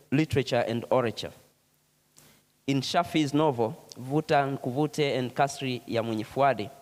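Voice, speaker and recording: The man at the podium of a big echoing conference hall, formally reading out his scholarly paper. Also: mid-range voice. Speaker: keynote speaker, professor. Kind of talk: scripted academic keynote lecture. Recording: hall with long reverb.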